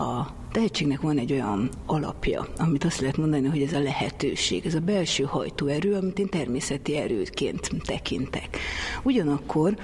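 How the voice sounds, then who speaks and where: A man giving explanatory answers as an expert guest in an interview. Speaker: expert guest on talent development. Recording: faint low rumbling noise.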